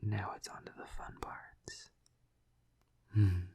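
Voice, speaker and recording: A man playing a flirty, tender vampire lover in an intimate ASMR roleplay, half-whispering in a breathy, husky voice with hesitant, teasing murmurs. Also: slow, mellow, lightly acted.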